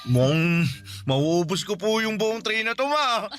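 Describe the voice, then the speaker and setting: A cheerful young college man in a Filipino radio drama. His voice sits fairly high and boyish, with an eager, shy, respectful way of talking. Light music runs behind him. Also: big pitch swings, measured pace, a bit bright, exaggerated voice. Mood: delighted. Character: cheerful, bashful college-age son, respectful to his mother. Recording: background music under the voice.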